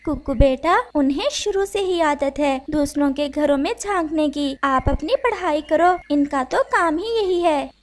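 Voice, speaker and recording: A woman voicing a gentle, protective mother bird in a cartoon story, polite and measured. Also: high-pitched. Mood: reassuring.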